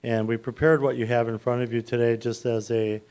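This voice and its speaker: A man with a loud voice, working as a municipal finance advisor, walks a city council through a bond presentation step by step in a council chamber. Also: mid-range voice, flat delivery, fairly quick, clear, resonant tone.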